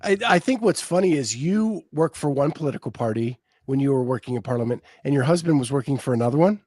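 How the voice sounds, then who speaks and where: A man's voice: mid-range voice, expressive, fast, darkish tone, no echo, clean studio sound. An American man hosting a podcast interview, curious and asking leading questions in a casual way. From United States. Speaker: podcast host.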